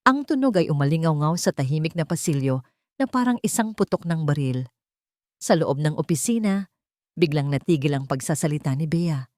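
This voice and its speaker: A woman's voice: low-pitched, expressive, moderate pace, a little mellow, dry recording, studio quality. A woman with a Filipino accent reading a scripted story as a dramatic narrator.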